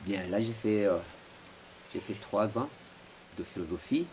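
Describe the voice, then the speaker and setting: A man's voice: fairly low pitch, expressive, measured pace, a little mellow. A man in his forties from Rwanda, answering interview questions at home with many hesitations and repeated words. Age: 40s. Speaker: interviewee, philosopher. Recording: noisy home recording.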